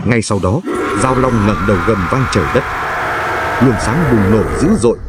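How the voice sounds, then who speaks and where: A man with a fairly low voice tells a folk tale as dramatic scripted narration. His delivery is solemn and full of feeling, and very prominent music plays behind him. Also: moderate pace.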